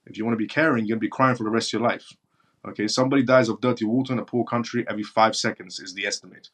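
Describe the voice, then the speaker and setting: A man's voice: fairly low pitch, fairly even intonation, rapid-fire, fairly bright tone. A man from northern Iran with as his hosts a live stream, answering viewer chat bluntly and repeating his points for emphasis. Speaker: live-stream show host.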